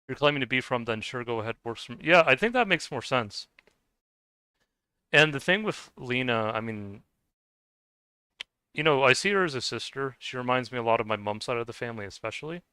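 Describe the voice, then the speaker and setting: A man's voice: medium pitch, bright, forceful tone, clear, resonant tone, no echo. A young man with a slight Scottish-tinged accent, chatting casually and hesitantly while playing a game, often restarting and trailing off. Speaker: gaming video creator. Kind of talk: casual rambling commentary over gameplay.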